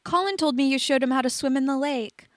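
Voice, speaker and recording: A woman voices a teenage boy wizard in a storybook. He is earnest and blunt, asking pointed questions in a fairly high voice. The recording is very dry. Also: a little fast, no room sound, close to an everyday voice. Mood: urgent.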